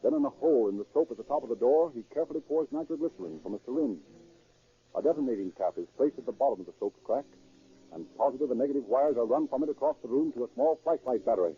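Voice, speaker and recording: A man's voice: mid-range voice, animated, quick pace, slightly rough. A man narrating a crime drama in dramatic, scripted 1930s radio style. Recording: tinny, narrow 1930s radio recording.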